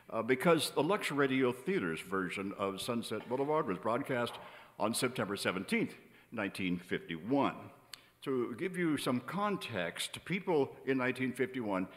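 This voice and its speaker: An American man in his seventies announces from a theater stage with a lively, swinging intonation. He reads from notes in a measured, emphatic way, and his voice rings with the long reverb of a big hall. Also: mid-range voice. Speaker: announcer introducing a stage show. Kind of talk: prepared stage introduction read from notes. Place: on stage in a large indoor theater. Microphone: vintage-style microphone on a stand.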